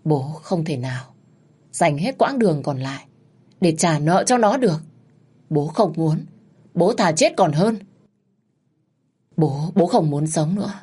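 Woman voicing a resigned middle-aged father, low, husky, heavy and pleading, storybook drama. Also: lively intonation, a little slow, pauses often, dark tone, heavily acted. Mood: grim, resigned.

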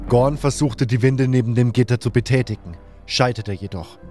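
A man with a mid-pitched voice reads scripted narration for a fantasy audio drama. He tells it in the first person as a storyteller, with soft music underneath. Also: moderate pace. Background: faint music.

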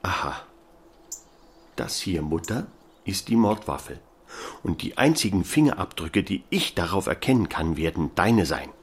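Provincial cop, low voice, curt, officious, bossy, radio-play delivery. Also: male voice, sing-song, measured pace, full character voice. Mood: accusing. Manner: gruff, laconic, officious. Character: gruff small-town policeman, bossy with his mother. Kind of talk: audio drama acting.

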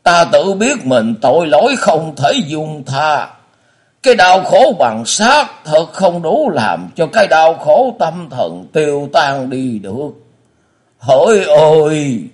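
A man voicing a remorseful, frail old blind prisoner father in an audiobook story, with slow, sighing, drawn-out speech. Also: on the high side, big pitch swings, voice pushed up.

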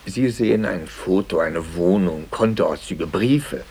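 An actor playing a troubled, despairing priest in a German radio play, speaking gravely and haltingly with great intensity. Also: male voice, low voice, a little mellow, full character voice. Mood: brooding. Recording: hissy old radio play recording.

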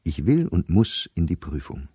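Radio play: embittered, anxious diarist professor, measured delivery, dark mellow tone, close dry sound. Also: male voice, deep voice, big pitch swings, breathy edge, dry recording, heavily acted. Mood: stubborn. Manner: measured, weary, dropping to near-whisper at grim thoughts. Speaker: diarist narrator. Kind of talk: literary radio play monologue, diary reading.

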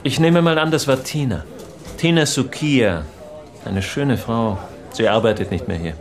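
An actor playing a smug, insinuating hospital doctor with a smooth, sonorous voice in a radio crime drama. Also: male voice, medium pitch, unhurried, natural voice. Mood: offhand. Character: smug, oily male hospital doctor and scientist.

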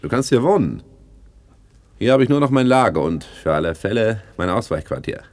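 Slick pompous crook with swooping intonation. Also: male voice, lowish voice, very animated, moderate pace. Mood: grand, businesslike. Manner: pompous, self-satisfied. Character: slick self-important small-time crook turned businessman. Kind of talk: 1960s German radio play acting.

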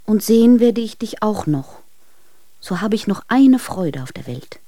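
Lovelorn wife in a radio play, despairing and imploring, dark soft timbre, very dry close sound. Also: female voice, mid-range voice, animated, a little slow, no echo. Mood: tender, reproachful. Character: despairing, lovelorn wife pleading in farewell letters. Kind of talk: German radio drama, intimate monologue.

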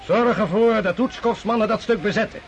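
An actor playing a sardonic army general in a radio drama, with a commanding, dry, condescending manner. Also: male voice, high voice, a little fast, muffled recording. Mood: commanding, dismissive.

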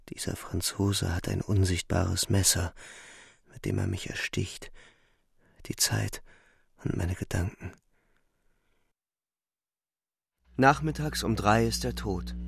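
A grave, creaky-voiced anguished young soldier. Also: male voice, low-pitched, no echo, heavily acted. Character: anguished young male soldier recounting a killing in a shell hole. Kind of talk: dramatic first-person radio play monologue. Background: music.

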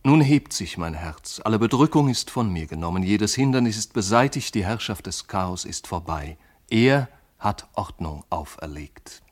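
An actor playing an anxious, yearning man in a literary radio drama, speaking in measured, poetic monologue. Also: lowish voice, expressive. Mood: relieved, exalted. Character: anxious yearning man awaiting his beloved friend.

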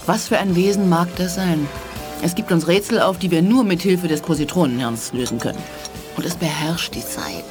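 A proud alien commander, voiced by a woman, speaks in a cool, assertive way with a lowish voice. Faint music runs behind this radio play. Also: normal speed, lightly acted. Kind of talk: 1980s German radio play acting.

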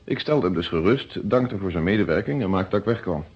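An actor playing a shrewd, self-assured police inspector in a radio play, measured, polite and casually probing. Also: male voice, low voice, lively intonation, breathy edge, full character voice. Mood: self-assured, wry. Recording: dull, noisy old radio recording.

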